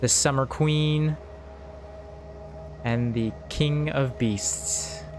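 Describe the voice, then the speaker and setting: A man running a tabletop game as game master, reading lore aloud in halting, broken phrases. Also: medium pitch, steady tone, background noise. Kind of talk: narrating a tabletop game over a call.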